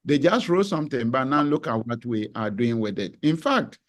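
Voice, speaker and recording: A professor answers a question on a panel at a deliberate pace, thinking aloud and pausing with small hesitations. He is a man with a voice of middle pitch, speaking over a video call. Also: lively intonation. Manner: deliberate, reflective, some hesitations. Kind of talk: measured academic answer.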